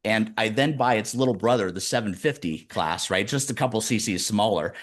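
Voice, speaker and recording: Animated storytelling man in his fifties. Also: on the low side. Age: 50s. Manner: animated storyteller, speeds up and slows down. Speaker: guest, executive coach. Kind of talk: casual interview chat.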